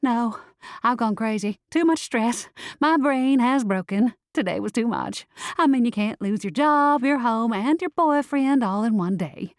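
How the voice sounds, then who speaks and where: A woman's voice: mid-range voice, sing-song, takes it slowly, dry recording, studio quality. Woman acting a panicky, disbelieving young woman. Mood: frantic. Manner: frantic, incredulous. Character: panicky, disbelieving young woman, newly told she's a witch.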